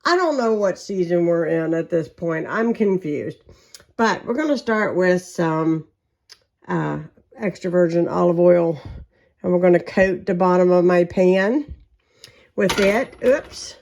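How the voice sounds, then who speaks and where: A woman, chatting casually to viewers while cooking at home, her voice slightly hoarse and her talk rambling with many ums. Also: fairly low pitch, lively intonation, unhurried, darkish tone, lo-fi sound. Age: 40s. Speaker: home vlogger. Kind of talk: casual catch-up and cooking chat.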